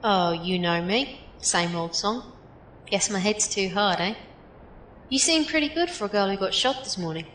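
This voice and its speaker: A woman's voice: animated, moderate pace, fairly bright tone, natural voice. A woman playing a breezy, blunt, tough female teammate in an audio drama, talking in a casual, folksy, direct way. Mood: teasing, breezy. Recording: echoey room with faint noise, rumble.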